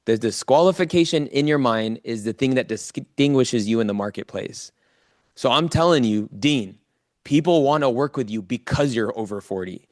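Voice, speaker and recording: A man's voice: mid-range voice, expressive, moderate pace, a little mellow, dry recording. Emphatic male motivational coach, dry close recording. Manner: emphatic, repeats key phrases for effect; informal, addresses listeners directly. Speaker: presenter coaching a live online audience.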